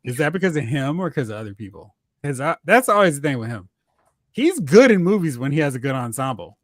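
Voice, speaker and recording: Animated guy podcast host, kinda high voice, joking around, swings up and down a lot. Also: quick pace, no echo, studio quality. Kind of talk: casual movie talk banter.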